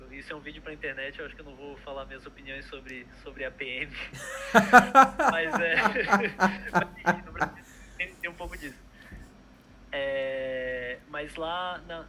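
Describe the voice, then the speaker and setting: A man's voice: on the high side, sharp tone, low-quality recording. A young man, a product manager chatting casually in an interview, hesitant with many fillers and restarts.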